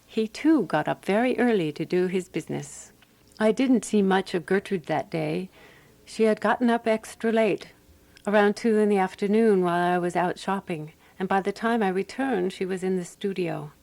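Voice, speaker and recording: An actress playing a composed, wry woman sleuth narrating a radio mystery in measured, scripted storytelling. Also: mid-range voice, gravelly, darkish tone, dry recording, lightly acted.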